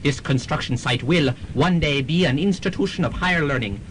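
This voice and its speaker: A man's voice: a little high, brisk, natural voice. A man playing a pious, grandiose priest, preachy and florid, in a melodramatic radio drama. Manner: preachy, florid, repeats himself. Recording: noisy amateur student radio recording.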